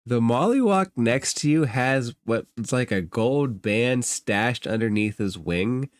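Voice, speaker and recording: A man narrating a tabletop game as game master in a halting, informal, conversational way. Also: medium pitch, lively intonation, no echo, studio quality.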